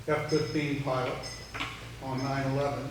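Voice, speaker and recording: Male audience member with a flat, even delivery, telling a story conversationally, far off in a very echoey room. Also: mid-range voice, normal speed. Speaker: audience member, Air Force veteran. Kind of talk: conversational anecdote. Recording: very echoey room, distant, faint noise.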